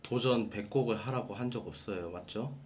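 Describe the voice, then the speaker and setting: A man's voice: medium pitch, brisk, darkish tone. Dry, mock-stern young man streamer. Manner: stern, dry, teasing in stiff polite speech. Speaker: live streamer host. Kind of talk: mock-formal boss roleplay with a caller. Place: small room at a desk, indoors.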